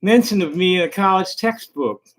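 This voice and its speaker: A middle-aged man vlogging casually, halting with many fillers and restarts. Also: high voice. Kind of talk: casual talk to camera.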